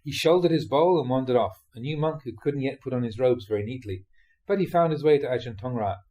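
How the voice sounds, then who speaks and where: A man reading Buddhist teaching stories aloud in the animated manner of a storyteller. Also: mid-range voice, fast. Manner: animated storyteller, acts out dialogue, often chuckles. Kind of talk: audiobook reading of dharma talk storytelling.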